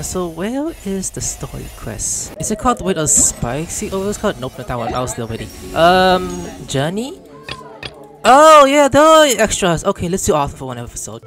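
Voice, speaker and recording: Playful game streamer woman, lowish lively voice. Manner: playful, chatty. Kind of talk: casual game playthrough commentary.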